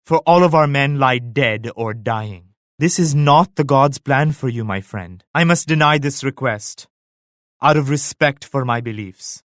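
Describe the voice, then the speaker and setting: An actor playing a devout, solemn emperor and military leader in a strategy game, with measured, grave, pious declamation. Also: male voice, medium pitch, a bit bright, no room sound, clean studio sound, close to an everyday voice. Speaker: video game character voice actor. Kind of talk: scripted strategy game voice lines.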